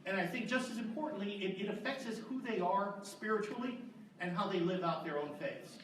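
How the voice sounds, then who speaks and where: A man's voice: high-pitched, brisk. A priest in his fifties moderating a conference panel, speaking earnestly with frequent restarts. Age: 50s. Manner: earnest, with restarts and repeated words. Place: large echoey conference hall. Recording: echoey hall, distant, audible noise.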